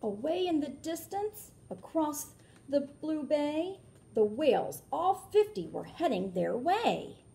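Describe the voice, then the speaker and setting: A woman reading a children's picture book aloud like a teacher, animated, with explanatory asides and questions to her listeners. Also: high voice, takes it slowly, creaky, slight background noise.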